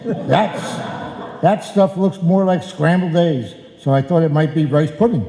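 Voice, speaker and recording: A man's voice: fairly high pitch, a little slow, dark tone. A man playing a weary, grumbling husband in a live old-time radio comedy, complaining in exasperation. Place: indoor stage before a live audience. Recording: echoey live stage in a hall.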